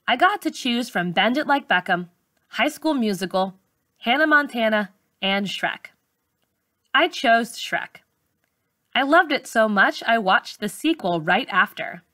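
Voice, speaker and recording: A woman reading a memoir aloud like an audiobook narrator, with measured, clearly phrased delivery. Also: mid-range voice, pushed and bright, no echo, studio quality. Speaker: teacher reading a book aloud.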